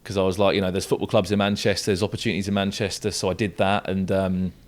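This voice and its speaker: A man's voice: sits low, level tone, quick pace. A young man from the south coast of England with a southern English accent, an esports commentator talking casually as a podcast guest. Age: young adult.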